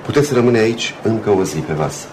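An actor playing a concerned, courteous ship's captain in a Romanian radio play, measured and formal. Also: male voice, fairly low pitch, expressive, noisy background, natural voice. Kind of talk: Romanian radio theatre acting.

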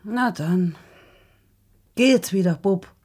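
A woman's voice: medium pitch, takes it slowly, a little mellow. An actress playing a tender but firm young farm woman in a radio drama. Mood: gently firm.

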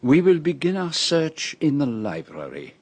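An actor playing a shrewd, self-assured Belgian detective in a radio drama, with a French-speaking Belgian accent and a deliberate, theatrical manner. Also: male voice, a little high, huge pitch swings, husky, no room sound, exaggerated voice. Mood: confident, amused. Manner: deliberate, theatrical, peppers speech with French phrases.

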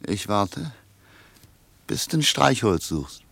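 Fussy nervous drifter, lowish voice, dry sound, bickering, commanding, radio drama. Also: male voice, big pitch swings, slow, vocal fry, a bit bright, husky, dry recording, full character voice. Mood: sarcastic, indignant. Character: bossy, nervous vagabond man, irritable and fussy. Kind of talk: German radio play acting.

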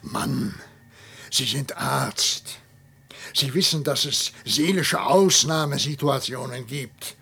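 An actor playing an authoritative, formally spoken professor in a German radio play, reasoning and easily indignant. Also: male voice, medium pitch, slow pace, vocal fry, pushed and bright, breathy edge, full character voice. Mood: alarmed, pleading.

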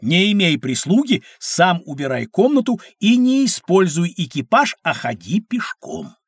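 A learned, patient storyteller for a children's educational audio play. The voice is fairly high and husky, with very varied intonation as it explains. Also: male voice, big pitch swings, measured pace, dry recording, clean studio sound, exaggerated voice. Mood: solemn. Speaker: narrator-teacher.

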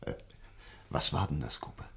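An actor in a German radio play, playing a patient gentleman who questions a witness in a reassuring, gently probing way. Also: moderate pace, close to an everyday voice. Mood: attentive, probing.

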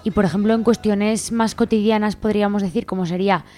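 A woman speaks Spanish with an accent from Spain at an average pitch. She leads a radio interview with conversational questions. There is light music in the background. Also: fairly even intonation, quick pace, a little mellow.

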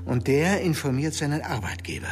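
A man playing a calculating, powerful political fixer in a radio drama, speaking in a measured way. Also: on the high side, animated, lightly acted. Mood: hushed urgent. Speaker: political operator. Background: music.

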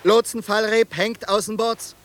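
A man's voice: high-pitched, steady tone, measured pace, a bit bright. An actor playing a resolute ship's helmsman in a radio drama, speaking in a clipped, commanding way. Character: resolute ship's helmsman giving brisk orders. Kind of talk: 1990s German radio play acting.

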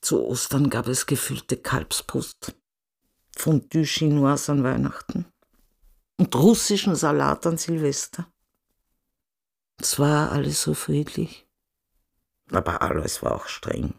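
A man in a radio play voicing a melancholy family member who recounts the past in a plain, subdued, deliberate way. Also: fairly high pitch, expressive, vocal fry, exaggerated voice. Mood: wistful. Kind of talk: scripted radio play narration.

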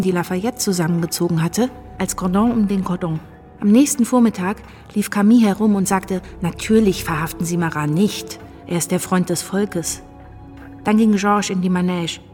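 Actress as a confiding young wife, medium pitch. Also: female voice, mellow, lightly acted. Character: anxious, dutiful young wife recounting her life. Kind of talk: first-person radio drama narration.

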